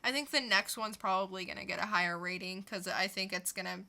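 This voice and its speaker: A woman's voice: medium pitch, fast talker, creaky, sharp tone. A woman co-hosting a podcast, chatting casually through a comic book review in an informal storytelling way. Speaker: podcast co-host.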